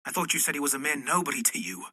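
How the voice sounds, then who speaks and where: A man acting a jealous, sardonic young gentleman suitor in an amateur period radio drama, clipped in manner. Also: on the high side, big pitch swings, very fast, breathy edge, no room sound, exaggerated voice. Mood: jealous, curt.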